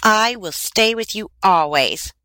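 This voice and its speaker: Woman voicing a high-pitched, devoted little storybook swallow. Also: expressive, slow, sharp tone, no echo. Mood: tender, devoted. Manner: earnest, pleading, exclamatory. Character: small kind-hearted male swallow, eager and devoted. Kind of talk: storybook character voice.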